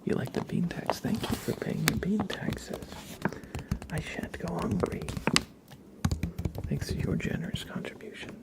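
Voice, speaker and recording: A man chatting casually in a gentle, playful, breathy ASMR whisper as a live streamer, close to the microphone. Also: fairly high pitch, big pitch swings, measured pace, pauses often. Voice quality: breathy whisper with soft creaky murmurs.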